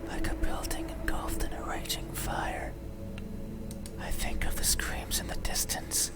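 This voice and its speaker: A performer voicing a creepy, morbidly curious wandering cursed soul in a breathy, intimate whisper, in the style of horror ASMR. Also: lightly acted. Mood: morbidly fascinated. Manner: slow, eerie, intimate. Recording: music under the whispering.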